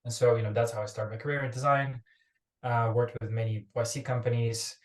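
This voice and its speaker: A man's voice: mid-range voice, little pitch movement, brisk. A young man from Ukraine, a startup founder talking casually as a podcast guest, rambling and restarting his phrases. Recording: roomy echoey remote recording.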